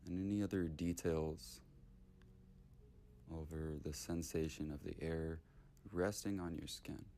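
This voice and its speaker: A man leading a guided meditation in short, unhurried, soothing phrases with long gaps between them. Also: very deep voice, fairly even intonation, mellow, a little hiss or hum.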